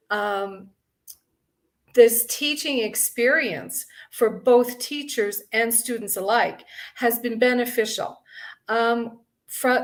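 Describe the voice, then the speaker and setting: A middle-aged woman from Canada giving an earnest conference speech, with many ums and pauses between phrases. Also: on the high side. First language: English. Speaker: guest speaker.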